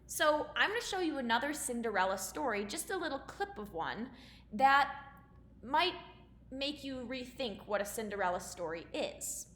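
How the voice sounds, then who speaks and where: A woman gives a lesson and read-aloud in a bright, slightly high voice. Her phrasing is simple and instructive, as for young pupils. The room is echoey with faint noise. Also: big pitch swings, normal speed. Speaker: elementary school teacher. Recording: roomy echo, faint background noise.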